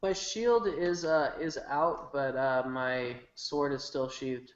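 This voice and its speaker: A man's voice: mid-range voice, takes it slowly. A man chatting casually as a player in a tabletop roleplaying game. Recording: echoey, dull room recording.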